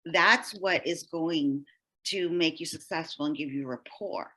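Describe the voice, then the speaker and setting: A woman's voice: low voice, a little slow, fairly bright tone, poor audio. A woman podcast guest and healer telling personal stories casually, rambling and dropping "like" often. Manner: rambling, peppered with "like". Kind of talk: casual conversational storytelling.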